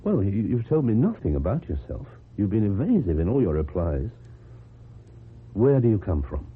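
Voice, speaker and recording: Deep-voiced, hesitant British loner. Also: male voice, big pitch swings, slightly rough. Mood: stern, wary. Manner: hesitant, halting, formal. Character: lonely, awkward, inexperienced man, earnest and apologetic. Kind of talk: old-time British radio drama acting. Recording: muffled, noisy old radio recording.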